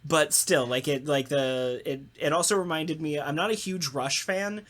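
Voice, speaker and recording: The voice sits at a middle pitch and belongs to a podcast co-host in a relaxed group discussion. The delivery is enthusiastic and informal, with casual swearing thrown in. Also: moderate pace. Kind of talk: casual round-table chat.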